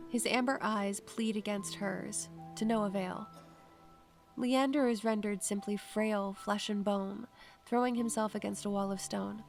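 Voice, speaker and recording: A woman playing an anxious, observant first-person narrator in a scripted audio drama, measured and literary. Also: mid-range voice, steady tone, vocal fry, darkish tone, faint music behind. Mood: tense, hushed.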